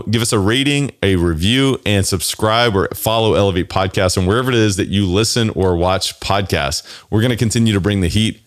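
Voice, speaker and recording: Man, podcast host, motivational, energetic, lowish voice, dry close recording. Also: no echo. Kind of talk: upbeat podcast interviewing.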